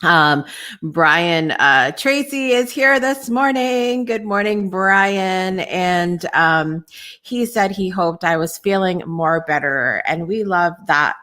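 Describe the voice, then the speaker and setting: A woman hosting a live podcast, talking in a casual, candid way with lots of ums and restarts, her voice hoarse and lower than usual. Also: expressive, takes it slowly, sharp tone. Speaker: podcast host. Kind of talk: casual live-show chat.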